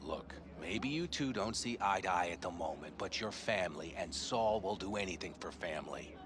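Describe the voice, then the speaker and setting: A loyal male nomad fighter with a lowish voice. He speaks calmly and reassuringly. Crowd noise is heard behind him in the game audio. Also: moderate pace. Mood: gentle. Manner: calm, reassuring.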